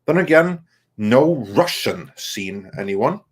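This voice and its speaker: A man co-hosting a live stream, reading viewer comments aloud in a halting way and responding casually. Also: mid-range voice, very animated, slightly rough. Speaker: co-host reading viewer messages.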